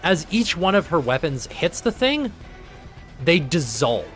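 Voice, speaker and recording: A man's voice: a little high, unhurried, faint music behind. Canlı bir masaüstü oyununu yöneten, hareketli ve geveze bir adam; sesi hemen her erkeğe göre biraz ince ve iniş çıkışları bol. Kuralları yüksek sesle düşünerek anlatıyor, arkada hafif müzik var. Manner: animated, chatty, thinks aloud about rules. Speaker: game master. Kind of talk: narrating a live tabletop game. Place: home streaming setup.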